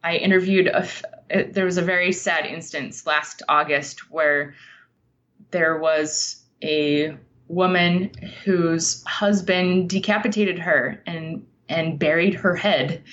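A woman giving conversational interview answers as a guest writer, explaining things in a thoughtful, measured way. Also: on the low side, fairly bright tone, dull audio.

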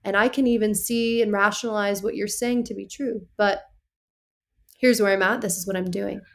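A woman's voice: mid-range voice, fairly even intonation, normal speed, gravelly. A woman in her thirties speaking frankly in a casual talk, direct and reassuring. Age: 30s. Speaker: podcast guest. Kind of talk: casual conversation.

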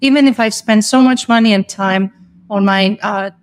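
A woman's voice: mid-range voice, moderate pace. Passionate woman guest making her case. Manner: passionate, emphatic advocacy. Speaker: guest, English teacher. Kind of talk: conversational interview.

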